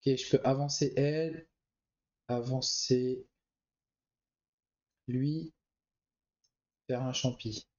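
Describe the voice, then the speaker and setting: A man's voice: mid-range voice, fairly even intonation, drawn-out words, pauses often, a little mellow, highs cut off. A man with a cold, thinking aloud as he comments on a card game he plays. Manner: thinks aloud, muttering choices. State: has a cold. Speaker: gameplay commentator. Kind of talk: relaxed let's-play commentary.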